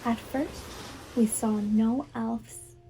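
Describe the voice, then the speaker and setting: Slow, soft-toned woman reading a storybook. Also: fairly high pitch, fairly even intonation, slight background noise, poor audio. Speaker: guest storybook reader, preschool teacher. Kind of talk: children's picture book read-aloud.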